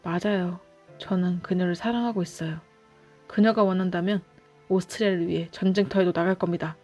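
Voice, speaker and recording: A woman's voice: on the low side, fairly even intonation, a little fast, a little mellow, soft background music, natural voice. Woman reading as an earnest, lovestruck young man. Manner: earnest, emotional.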